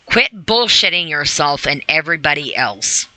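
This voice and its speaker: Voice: medium pitch, sing-song, moderate pace, pushed and bright, a little hiss or hum, low-quality recording. A podcast host reading a self-help list aloud with informal commentary, blunt, repeating phrases for emphasis and putting rhetorical questions straight to the listener.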